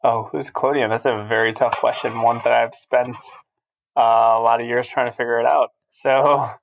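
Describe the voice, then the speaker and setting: A man in his thirties from Albania, a guest on a podcast, who talks through his life in a casual, steady way. His pitch is middling and his intonation stays level with little rise and fall. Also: normal speed, creaky, muffled recording. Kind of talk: casual interview chat.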